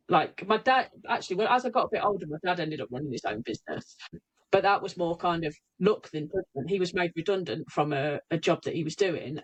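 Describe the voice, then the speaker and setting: A woman's voice: lowish voice, low-quality recording. A woman, a hypnotherapist guest on a remote podcast, talking candidly and often restarting her sentences. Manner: candid, self-correcting, frequent restarts. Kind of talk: reflective interview talk. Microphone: remote call recording.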